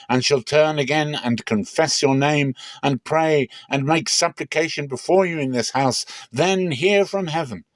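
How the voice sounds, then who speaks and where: A man reading scripture aloud as a solemn, expressive dramatic reading. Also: on the high side, no room sound. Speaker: Bible reader.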